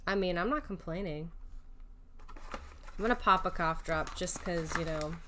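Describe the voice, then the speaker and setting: A woman's voice: lowish voice, a little slow, a bit bright, slight background noise. A woman doing casual live video game commentary, chatty and self-mocking as she thinks aloud.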